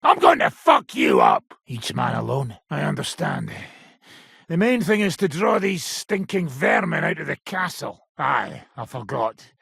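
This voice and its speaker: A man playing a gruff, foul-mouthed, menacing mercenary in a video game, with a gravelly, raspy voice and a coarse, swaggering, sardonic manner. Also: on the high side, fairly bright tone, no echo, clean studio sound, exaggerated voice. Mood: furious, taunting, then stern, then angry grumbling. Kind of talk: video game character acting.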